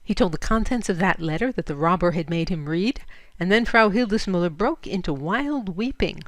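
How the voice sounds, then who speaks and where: A woman reading a short story aloud as expressive, scripted narration. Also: fairly low pitch, moderate pace, no echo. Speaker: story reader.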